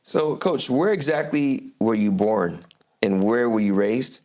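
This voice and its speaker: A man's voice: lowish voice, lively intonation, unhurried, darkish tone. A man hosting a talk show in an informal, conversational interview style, using Arabic religious phrases here and there.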